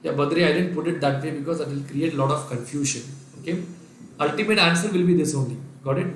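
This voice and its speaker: A man's voice: on the high side, fast, echoey room, slight background noise, rough recording. A man from Kerala with an Indian English accent and Sindhi as his first language, giving a live online revision lecture. He dictates the working step by step and reads out viewers' names, answering them as he goes. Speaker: finance lecturer.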